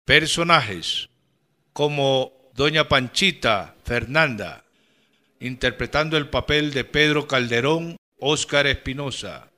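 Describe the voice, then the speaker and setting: A man's voice: mid-range voice, slow pace, pushed and bright. A man giving scripted narration and announcements for a radio drama.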